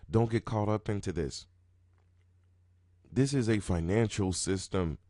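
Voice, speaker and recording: A man with a very deep, groggy morning voice talks casually and rambles, looping back over his words and restarting often. His sound is dry and close, with no room around it, and his pitch and loudness jump up suddenly. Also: a little slow, no room sound. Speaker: roulette strategy video host. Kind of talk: casual talking-head explanation.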